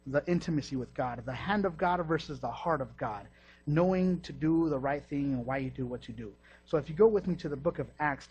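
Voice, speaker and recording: A young man preaching a sermon with emphatic, animated delivery, calling out to the congregation. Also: fairly high pitch, slightly rough. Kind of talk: energetic sermon. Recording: dull lo-fi recording.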